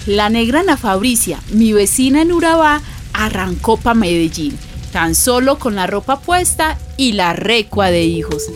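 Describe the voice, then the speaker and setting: Colombian woman, folksy storyteller over clear music acted. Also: mid-range voice, expressive, measured pace, lightly acted. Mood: fond. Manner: affectionate, colloquial storytelling. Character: fond, folksy woman neighbour telling a friend's life story. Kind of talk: radio drama narration. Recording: music bed behind.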